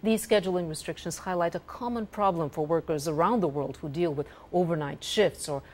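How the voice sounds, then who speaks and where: A woman's voice: on the low side, brisk. A woman presenting the news and putting interview questions in a broadcast style.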